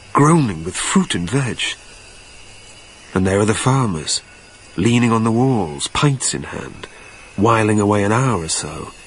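A man's voice: mid-range voice, slight background noise, close to an everyday voice, poor audio. A man playing a wistful, haunted dead man, narrating a radio drama in a literary, brooding way. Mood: dreamlike. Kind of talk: dramatic first-person scripted narration.

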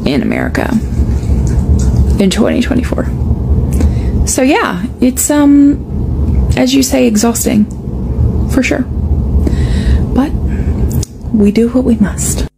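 A woman speaking bluntly and emphatically to camera, deliberate and prone to swearing, with a sarcastic edge. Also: a little high, sing-song. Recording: clear ambient background noise.

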